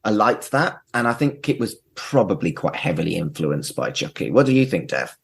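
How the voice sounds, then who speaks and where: A man's voice: deep voice, sing-song, moderate pace, gravelly. A man in his forties hosting a casual podcast, talking in an emphatic, sweary way. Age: 40s. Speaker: podcast host.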